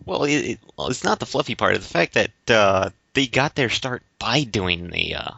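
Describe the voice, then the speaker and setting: Halting man with a bright, lowish voice. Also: lively intonation, creaky, husky. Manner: halting, stammering. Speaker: podcast co-host. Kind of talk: casual debate-style chat. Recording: slightly dull, lo-fi audio.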